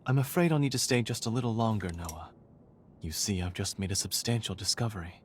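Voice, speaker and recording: An actor as a low-voiced, genteel researcher. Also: male voice, slight background noise, natural voice. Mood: placid, reassuring. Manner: polite, measured, genteel, unhurried. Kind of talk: video game cutscene acting.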